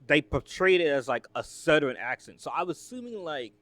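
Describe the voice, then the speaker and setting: A man from the United States reacts in casual, rambling talk, full of fillers and asides. His pitch swings widely as he talks. The sound is dry and close, with no room around it. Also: a little fast, dry recording. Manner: rambling, filler-heavy, lively and chatty. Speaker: reaction video host.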